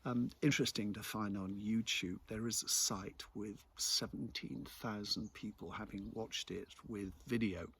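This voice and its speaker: Middle-aged English male, slightly deep and rough-edged, for a reflective, conversational solo commentary. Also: steady tone, measured pace, hardly any pauses, a bit bright. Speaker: local commentator and host. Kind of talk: reflective spoken monologue.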